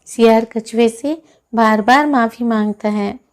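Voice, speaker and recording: A woman's voice: fairly high pitch, slow pace, clear, resonant tone. A woman narrating a moral story for children as a storyteller.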